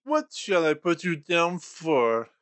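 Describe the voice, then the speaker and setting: A man doing a slow, formal charity gentleman. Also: fairly high pitch, very animated, no room sound, heavily acted. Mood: courteous. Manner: formal, courteous and measured. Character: polite middle-aged gentleman collecting for charity. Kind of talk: storybook reading.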